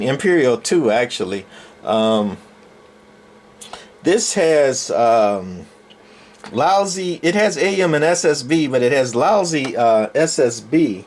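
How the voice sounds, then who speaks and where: A man's voice: medium pitch, slight background noise. A middle-aged man casually showing off his collection, talking unscripted in a folksy, rambling way as he thinks aloud. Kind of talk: casual, unscripted show-and-tell narration.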